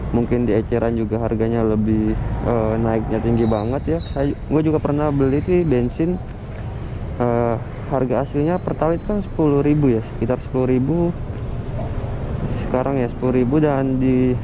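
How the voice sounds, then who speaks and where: A man's voice: medium pitch, fairly even intonation, normal speed. A man with a colloquial Jakarta Indonesian accent chatting casually and slangily as he vlogs about life as a motorcycle taxi driver. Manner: informal, slangy. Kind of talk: casual rambling vlog chat. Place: outdoors on a motorbike in city streets. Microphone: helmet intercom mic. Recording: muffled, loud engine and street noise.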